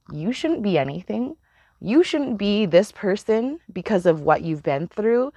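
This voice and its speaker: A woman giving a casual spiritual card reading, chatty and meandering, with a slightly hoarse voice. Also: medium pitch, a little mellow, no room sound. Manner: chatty, meandering, laughs often, swears casually. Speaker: tarot reader.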